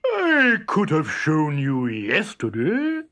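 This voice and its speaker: Boastful, slow-witted storybook bull: very draggy delivery, hesitant bluster, drawn-out mooing hums. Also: male voice, fairly high pitch, huge pitch swings, pushed and bright, full character voice. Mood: whining excuses. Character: boastful, dim-witted great bull, full of feeble excuses. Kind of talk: children's storybook character voice.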